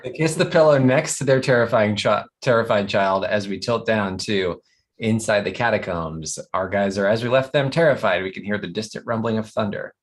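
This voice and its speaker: A man's voice: lowish voice, normal speed. Man reading stage directions, amused. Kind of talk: scripted narration at an animated comedy table read.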